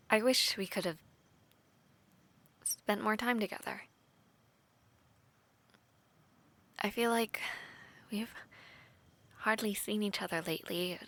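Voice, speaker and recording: Eaera, a gravelly bright woman voicing a young knight. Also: mid-range voice. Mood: tender. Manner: soft, intimate, often whispery with light laughs and hesitant restarts. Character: young woman knight trainee, earnest and affectionate. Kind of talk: intimate audio roleplay.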